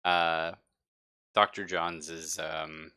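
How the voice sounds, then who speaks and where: Bright-voiced man running a tabletop game. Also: low voice, little pitch movement, smooth. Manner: informal, halting, many likes and pauses. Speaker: game master. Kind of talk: casual narration of a live tabletop game.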